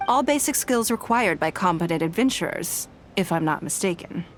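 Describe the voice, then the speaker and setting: Poised, lower-voiced noblewoman played by an actress. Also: lively intonation, a little hiss or hum, lightly acted. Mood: composed, reassuring. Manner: formal, measured, composed. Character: poised, authoritative noblewoman leader employing secretaries. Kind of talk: scripted video game dialogue. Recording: background music behind voice.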